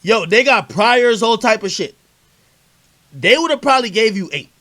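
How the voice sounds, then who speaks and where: High-pitched man hosting in profane street slang. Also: sing-song, moderate pace, sharp tone. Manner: insistent, repeats himself. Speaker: host of a livestream interview. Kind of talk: casual heated argument on a stream.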